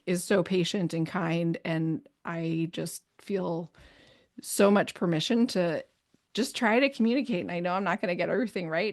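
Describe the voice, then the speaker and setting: Podcast guest: woman, low-pitched, chatty and upbeat, dry close sound. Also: no echo. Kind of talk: casual podcast conversation.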